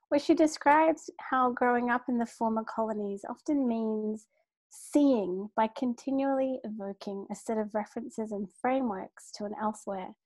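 A woman from Sri Lanka giving a measured, formal academic lecture from home over a video call, with brief fillers and restarts. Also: a little high, mellow, no room sound, poor audio. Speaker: keynote lecturer, art historian. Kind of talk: academic lecture read from a script. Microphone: computer mic on a video call.

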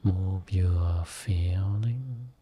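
A man guiding a Buddhist meditation as a teacher, speaking soothingly in short phrases with long pauses between them. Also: sits low, mellow, breathy edge. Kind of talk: guided meditation.

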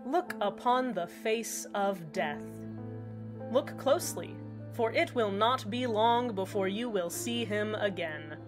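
A woman playing an eerie small-town community radio host in a scripted fiction podcast, deadpan and measured, with deliberate pauses. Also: medium pitch. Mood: solemn, ominous. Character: eerily cheerful female small-town community radio host, uneasily upbeat. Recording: music under the voice. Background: background music.

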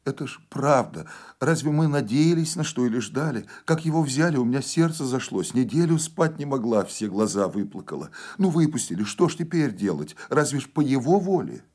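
A man's voice: mid-range voice, sing-song, brisk, few pauses, darkish tone, pitched above the performer's own voice. A man voicing an anguished peasant woman, tearfully pleading for her husband in a dramatic storybook reading. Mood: pleading, distressed. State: crying.

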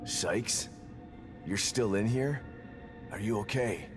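A grim, low-voiced detective hero. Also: male voice, slow. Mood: concerned. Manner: terse, grim, low-key. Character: gruff determined male detective hero. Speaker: game protagonist. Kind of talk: video game voice acting. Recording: loud game music behind.

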